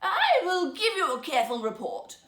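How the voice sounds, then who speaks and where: A woman's voice: very high voice, wildly swooping pitch, moderate pace, a bit bright, reverberant, voice pushed up, exaggerated voice. A woman voicing a timid, loyal old royal minister, hesitant and eager to please, in a storybook tale.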